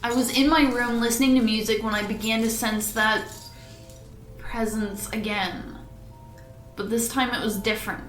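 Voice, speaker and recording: A woman's voice: a little high, fairly even intonation, moderate pace, fairly bright tone, echoey room, soft background music, close to an everyday voice. A woman playing an accused young woman in an audio drama, emotional, by turns snappy and pleading. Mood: uneasy. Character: accused young woman, sullen, sarcastic, desperate.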